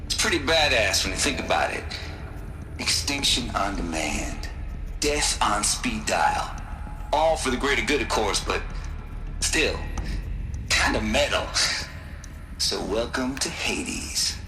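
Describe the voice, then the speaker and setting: A man's voice: fairly low pitch, very animated, slow pace, pauses often, heavily acted. A man acting an irreverent programmer in a video game, wry and theatrical as he shows off. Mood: gleeful, wry. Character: irreverent, sardonic male programmer relishing his grim creation. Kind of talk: video game cutscene monologue. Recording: echoing, music underneath.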